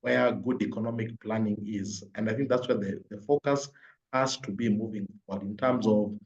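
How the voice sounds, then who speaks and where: A man's voice: on the low side, fairly even intonation, normal speed, darkish tone. A man with a Kenyan accent giving explanatory spoken analysis as a panelist, building his points step by step. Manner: explanatory, builds points in numbered lists and repetition. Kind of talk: spoken analysis at a virtual forum. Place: indoors, online call. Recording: roomy echo, dull lo-fi online call.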